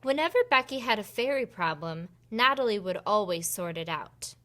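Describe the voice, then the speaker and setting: A woman reading a picture book aloud for preschoolers in an expressive storytelling manner. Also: mid-range voice, moderate pace, a little hiss or hum. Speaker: children's storybook reader and host.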